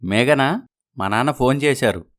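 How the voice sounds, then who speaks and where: A man's voice: mid-range voice, normal speed, a bit bright, lightly acted. A man playing a dutiful adult son in a moral story, apologetic and placating in manner. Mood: considerate.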